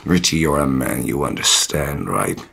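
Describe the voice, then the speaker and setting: An actor playing an ailing, bitter, lecherous former movie star in an audio drama, slurring and sardonic. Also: male voice, rumbling low, animated, moderate pace, vocal fry, bright, forceful tone, full character voice. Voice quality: slurred speech. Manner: sardonic, taunting.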